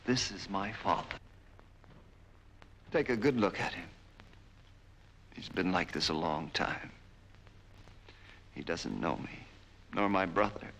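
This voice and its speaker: A man's voice: deep voice, lively intonation, slow, pauses often, a bit bright, heavily acted. An actor playing a cold, commanding American man bent on revenge in a 1940s film noir. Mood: bitter, intense. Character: vengeful, menacing man hunting his father's betrayer. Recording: noisy 1940s film soundtrack.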